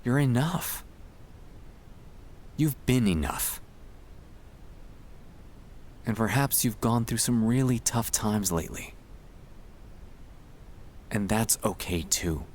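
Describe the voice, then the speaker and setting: Male, low voice, very slow delivery; gentle, soothing ASMR comfort read over faint low rumble. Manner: gentle, soothing, hushed, reassuring. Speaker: ASMR sleep-aid narrator. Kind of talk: ASMR guided relaxation, scripted comfort monologue.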